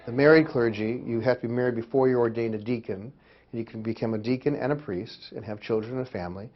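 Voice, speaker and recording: A man's voice: mid-range voice, quick pace, soft background music. Man explaining, dull lo-fi sound. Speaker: interviewee on Orthodox church life. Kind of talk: explanatory interview talk. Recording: dull, lo-fi interview audio.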